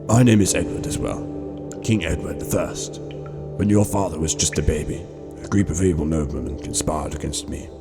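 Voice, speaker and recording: A man acts as an old exiled king with an extremely low voice, measured and formal in the manner of a gentle grandfather telling his history. It is amateur radio drama acting, with music clearly playing behind him. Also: close to an everyday voice. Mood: earnest, solemn. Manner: measured, formal, deliberate storytelling.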